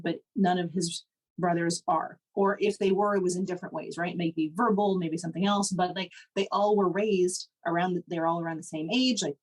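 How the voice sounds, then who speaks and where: A woman co-hosting a podcast, her voice sitting a little low. She talks in a loose, chatty way with plenty of "like" and laughs through her stories. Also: darkish tone, low-quality recording. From Canada. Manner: chatty, frequent "like", laughs while telling stories. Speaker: podcast co-host. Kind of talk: casual conversation.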